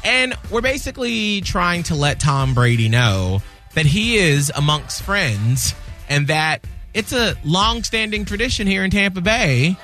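A male radio host chatting loudly and with animation on a morning show. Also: high voice, a little slow, faint music behind. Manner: loud, animated, laughing.

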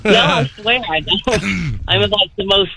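A woman's voice: medium pitch, unhurried, creaky, a crowd in the background. A middle-aged woman phoning in to a radio show, telling a personal story in a rambling, earnest way. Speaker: radio call-in guest. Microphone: telephone. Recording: muffled phone call to radio.

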